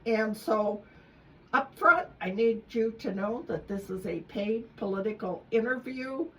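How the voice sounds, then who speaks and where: A woman's voice: mid-range voice, measured pace, a bit bright, recorded from a distance. Chatty woman hosting local radio. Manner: chatty, upbeat. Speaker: radio host. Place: radio studio. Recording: faint noise, off-mic in studio.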